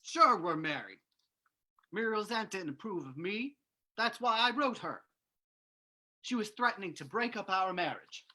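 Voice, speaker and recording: A young soldier defending himself in a radio play, read by a woman. The voice is bright and animated, with a defensive manner. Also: mid-range voice, unhurried, long pauses. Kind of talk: old-time radio drama reading.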